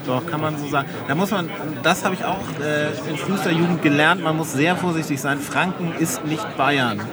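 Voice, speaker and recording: A man hosting a casual interview, asking questions in a halting way with restarts and filler sounds. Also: a little high. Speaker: interviewer host. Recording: loud crowd chatter behind.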